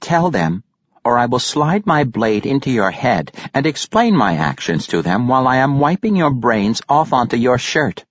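A man's voice: mid-range voice, normal speed, no room sound, dull audio, pitched above the performer's own voice. Icy male halfling killer, cool and controlled; a man reads it. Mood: menacing. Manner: calm, icy threats. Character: cold, cocky male halfling assassin.

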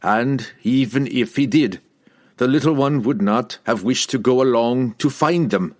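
A man voicing a brooding, hot-tempered young barbarian warrior in an audiobook, speaking formally and forcefully. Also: mid-range voice, measured pace, pitched above the performer's own voice, close to an everyday voice. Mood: angry, doubtful.

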